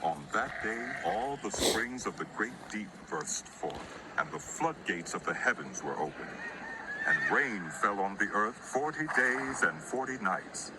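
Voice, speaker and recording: A man's voice: lowish voice, sing-song, measured pace, very noisy. A man narrating scripture as a dramatized story in a solemn, theatrical manner. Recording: voice over prominent music.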